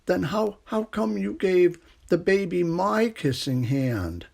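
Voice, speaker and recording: A man acts out a little raccoon boy from a children's storybook. He is a jealous, wounded big brother with a raised, somewhat husky voice. His delivery is plaintive and pleading. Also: high voice, expressive, takes it slowly, darkish tone, voice pushed up, heavily acted. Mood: hurt, pleading.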